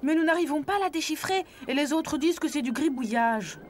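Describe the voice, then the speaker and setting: High, animated cartoon youngster voiced by a woman. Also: a little fast, a little hiss or hum. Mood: curious. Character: eager, impatient young boy animal, curious and stubborn. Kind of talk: French cartoon dubbing.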